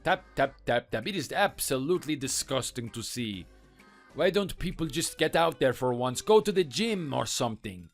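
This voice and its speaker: A scornful French mechanic, voiced by a man, mocks in a low, subdued voice with a contemptuous drawl. His pitch still rises and falls widely as he belittles. Soft music plays faintly underneath. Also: animated, moderate pace, creaky. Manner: taunting, contemptuous.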